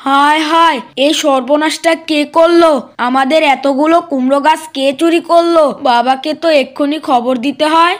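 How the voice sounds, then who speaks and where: A woman voicing a sharp, suspicious monkey child in a cartoon, outspoken and accusing. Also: high-pitched, fairly even intonation, moderate pace, lightly acted. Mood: alarmed.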